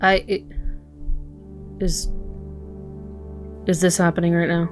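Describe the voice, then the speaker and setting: A woman's voice: fairly low pitch, little pitch movement, slow pace, faint music behind. A woman playing a curt, exasperated, hard-nosed police detective in an audio drama, blunt and biting. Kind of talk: dark superhero audio drama acting.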